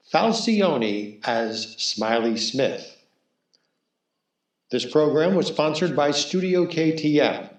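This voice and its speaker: A man reading a scripted broadcast announcement as a radio theatre announcer, formal and measured. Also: medium pitch. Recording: roomy, echoing sound.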